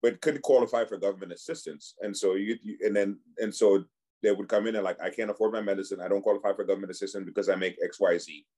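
A man's voice: on the low side, steady tone, warm tone, breathy edge. A man from Cameroon in his forties, a physician and clinic founder, speaking as a guest in a casual podcast chat and often restarting his sentences. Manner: halting, many restarts and repeated words.

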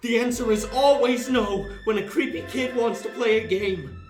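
A woman's voice: on the high side, moderate pace, natural voice. A woman playing a flippant, wisecracking paranormal investigator in an audio horror drama. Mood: excited alarm. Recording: roomy echo with music behind.

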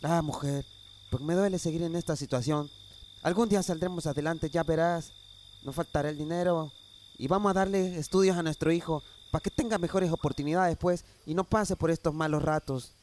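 A man's voice: a little high, fairly quick, a little mellow, a little hiss or hum, natural voice. A man acting a humble, earnest Mexican peasant husband in an amateur radio drama, with rustic folksy speech. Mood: weary, hopeful.

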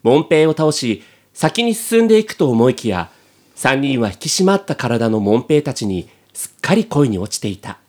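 A man's voice: medium pitch, very animated, normal speed, a little mellow. A man narrating a scripted comic radio drama in a dramatic storytelling style.

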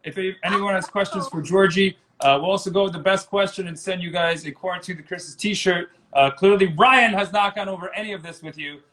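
A man co-hosting a casual livestream chat on a video call, pausing often with "uh" while he reads out questions. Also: high voice, a bit bright. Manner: hesitant, many "uh" fillers. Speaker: co-host.

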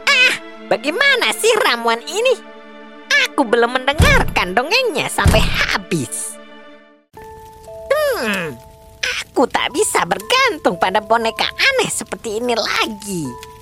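A woman's voice: on the high side, huge pitch swings, a little slow, music in the background. A woman voicing a demanding, menacing old witch in a children's storybook, with a theatrical, threatening delivery. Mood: annoyed.

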